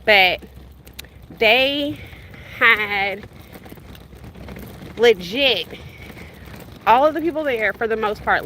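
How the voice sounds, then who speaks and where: A woman's voice: mid-range voice, fairly bright tone, poor audio. A woman in her thirties, from the Bahamas, chatting casually and rambling to her livestream viewers while walking outdoors with a stroller, recorded on a handheld phone. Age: 30s. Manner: rambling, conversational, addresses viewers directly. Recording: street noise outdoors.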